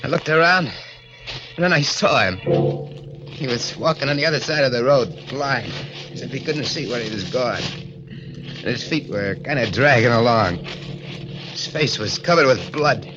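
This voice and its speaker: A man's voice: medium pitch, expressive, a little slow, long pauses, natural voice. A man playing a jittery escaped killer. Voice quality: hesitant, stammering. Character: escaped male asylum killer, nervous and evasive. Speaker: narrator and lead character. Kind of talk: 1940s radio drama acting. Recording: dull vintage radio recording with music.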